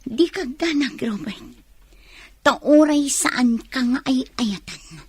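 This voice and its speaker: A woman's voice: high-pitched, expressive, slow pace, a little mellow, slightly rough, no room sound, exaggerated voice. A woman with an Ilocano Filipino accent playing a defiant young wife with secret plans in a radio drama. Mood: defensive.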